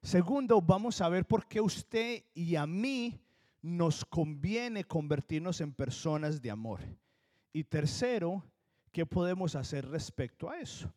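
A Colombian-accented man delivers a sermon with earnest emphasis. His voice sits a little high for a man. He slows right down to lean on the key words. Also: lively intonation, warm tone. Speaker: pastor.

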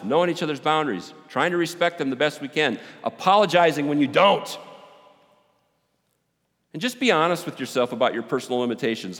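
A man preaching a sermon with a big voice, joking and animated, swinging between loud and quiet. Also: on the high side. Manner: animated, joking, with rising and falling volume. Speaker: pastor. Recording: long hall reverb.

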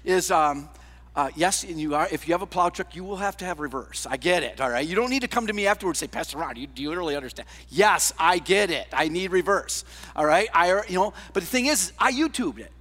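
A man preaching a sermon as a pastor, conversational and emphatic, tossing out rhetorical questions and swinging between raised and hushed delivery. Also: sits high, expressive, a little fast. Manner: conversational, with rhetorical questions and tags like "all right?". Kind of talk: sermon to a congregation.

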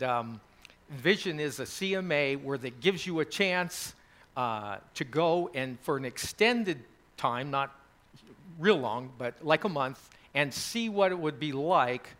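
A man's voice: medium pitch, expressive, a little slow, a bit bright. A man hosting a college gathering, telling stories to a group in a chatty, rambling way with many fillers. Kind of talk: casual address to a group.

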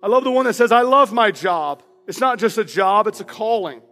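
A man with a high, loud and passionate voice preaches from the pulpit and reads declarations aloud with emphasis. His words ring out with a long hall reverb. Soft instrumental music plays underneath. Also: animated, fairly quick. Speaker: pastor. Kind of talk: sermon. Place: church sanctuary.

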